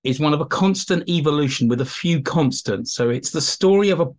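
A man's voice: fairly high pitch, expressive, fairly quick. A man explaining his specialist subject as an interview guest, informal, enthusiastic and given to digressions. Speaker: expert guest, teacher and writer.

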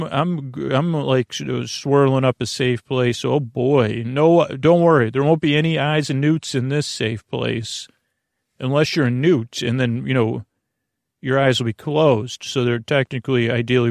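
A man with a creaky voice hosting a sleep podcast in a lulling, soothing, meandering monologue that halts and wanders. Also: medium pitch, no room sound, clean studio sound. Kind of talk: rambling, tangent-filled bedtime monologue.

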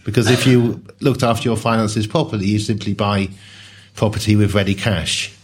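A man explaining ideas in a relaxed, conversational way with wry asides. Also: on the low side, normal speed, breathy edge. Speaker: speaker on social class. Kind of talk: discussion talk.

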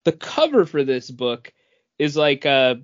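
A male podcast co-host talks in a casual, discussion style. His delivery is on the slow side with big swings in pitch. The recording is somewhat dull and lo-fi. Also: very animated. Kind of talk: casual comic book discussion.

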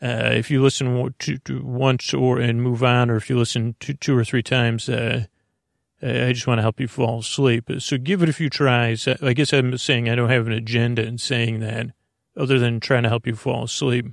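A man with a gravelly, creaky voice at a middling pitch, recorded close and dry. He rambles like a sleep podcast host, halting and restarting as he drifts into low-key, silly tangents. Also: flat delivery, dry recording. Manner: meandering, halting, often trailing off into tangents; mildly whimsical, low-key silliness. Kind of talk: rambling, unscripted bedtime sleep podcast intro.

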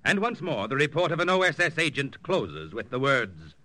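A man's voice: mid-range voice, very animated, moderate pace, pushed and bright, no echo. A man narrating a 1940s radio drama in an emphatic, solemn, theatrical style. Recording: old-time radio recording with music.

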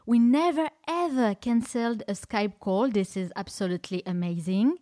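A woman's voice: fairly high pitch, moderate pace, warm tone, no echo, clean studio sound. A French woman with a French accent hosting a podcast conversation in English, speaking emphatically and effusively. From France. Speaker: podcast host. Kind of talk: casual interview conversation.

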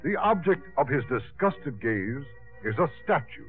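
A man speaking as a formal, measured host and narrator of a 1950s radio broadcast. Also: mid-range voice, sing-song, narrow, tinny audio. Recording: old radio recording, thin sound, music behind.

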